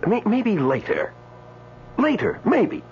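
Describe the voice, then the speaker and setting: An indignant man stranded after death, in an old radio play. His voice is on the high side and swings dramatically, with halting, pleading pauses. The sound is dull, with a faint hum. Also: huge pitch swings, darkish tone, exaggerated voice. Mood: hesitant, bewildered. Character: indignant, newly dead man stranded in limbo. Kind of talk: radio drama acting with first-person narration.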